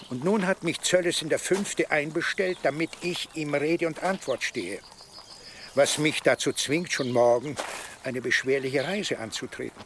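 An actor playing an imperious, irritated medieval archbishop in a German radio drama, speaking in a formal, sardonic manner. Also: male voice, fairly high pitch, a bit bright, a little hiss or hum, lightly acted. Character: imperious, irritated medieval archbishop giving orders to his deputy. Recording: music behind the voice.

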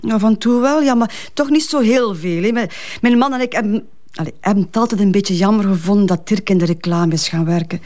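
A woman's voice: mid-range voice, a little fast, darkish tone, close to an everyday voice. An actress playing a grieving, protective mother in a Flemish crime drama, speaking hesitant, colloquial West Flemish with repeated words. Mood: hesitant, subdued.

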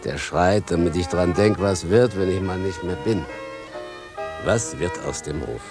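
A man's voice: deep voice, unhurried, over music. An actor playing a wistful fifty-year-old farmer and father in a radio play, ruminative, earthy and plain-spoken. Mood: wistful, brooding. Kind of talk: German radio drama acting.

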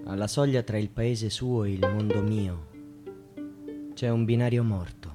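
A male actor recites as a brooding radio-drama narrator, a man with a fairly low voice. He delivers verse very slowly and solemnly, leaving long dramatic pauses, with plucked-string music clearly underneath. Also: natural voice. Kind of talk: poetic radio theatre recitation.

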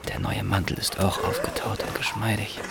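An actor playing a bewildered, resigned middle-aged optician in a radio drama, with terse, murmured asides. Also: male voice, fairly low pitch, wildly swooping pitch, moderate pace, very noisy, full character voice. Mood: wry, resigned. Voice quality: creaky, often breathy near-whisper. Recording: loud music behind.

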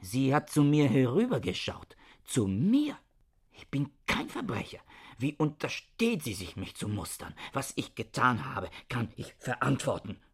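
Husky, suspicious male scholar. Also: mid-range voice, big pitch swings, unhurried, full character voice. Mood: indignant. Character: suspicious, agitated, self-righteous middle-aged male scholar. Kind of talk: radio play acting in German.

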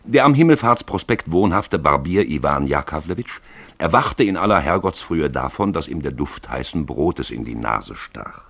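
A man giving a deliberate, theatrical literary narration for a radio play. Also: very deep voice, sing-song, vocal fry, fairly bright tone, dry recording.

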